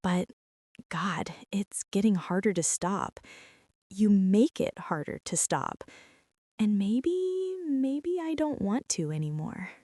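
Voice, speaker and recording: A woman performing a soft ASMR roleplay as an insecure woman CEO confessing her feelings to an employee, talking in a hushed, halting way that slips into whispers. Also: medium pitch, lively intonation, dry recording, clean studio sound. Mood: confessional.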